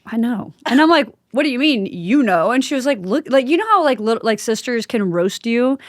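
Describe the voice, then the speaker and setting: A woman's voice: on the high side, lively intonation, a little fast, dry recording, clean studio sound. A woman hosting a casual podcast conversation, speaking informally and expressively and often acting out bits of dialogue. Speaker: podcast host interviewing a guest.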